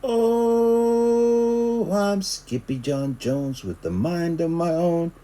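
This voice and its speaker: A man voicing a small, excitable boy cat who pretends to be a bold sword fighter, with theatrical mock-Spanish bravado. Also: sits high, extremely slow, pitched above the performer's own voice. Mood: playful, boastful.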